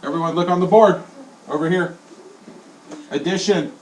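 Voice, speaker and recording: A male elementary school teacher leading his class in call-and-response, swinging his voice high and low as he drills them. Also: moderate pace. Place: classroom, indoors. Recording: echoey classroom from far off, with noise.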